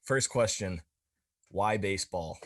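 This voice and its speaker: Very low-voiced man, informal podcast co-host. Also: measured pace, a bit bright. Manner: informal, rambling. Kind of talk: casual podcast chat.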